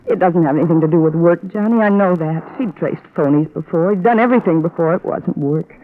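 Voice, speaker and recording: An actress plays an anxious, guarded wife in a vintage radio drama. She speaks haltingly and earnestly in a troubled tone. The recording is thin and tinny with light noise. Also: on the low side, natural voice. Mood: guarded, conflicted. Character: worried, suspicious wife of a missing investigator, troubled, guarded. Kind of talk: 1950s radio drama acting. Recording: tinny, noisy old-time radio recording.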